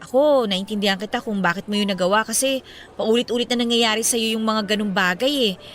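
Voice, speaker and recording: An actress playing a chatty, concerned young best friend in a radio drama, speaking expressively and with sympathy. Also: female voice, mid-range voice, brisk, fairly bright tone, soft background music, close to an everyday voice. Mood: concerned, hopeful. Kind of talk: Filipino radio drama dialogue.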